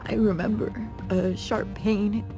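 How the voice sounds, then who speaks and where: An actress plays a tough female sergeant brought low by guilt in a dramatic game. She speaks slowly and haltingly, with heavy vocal fry and real intensity. A clear music score sits behind her. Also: little pitch movement, close to an everyday voice. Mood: shaken, pleading. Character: shaken, remorseful tough female police sergeant. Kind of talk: dramatic video game voice acting.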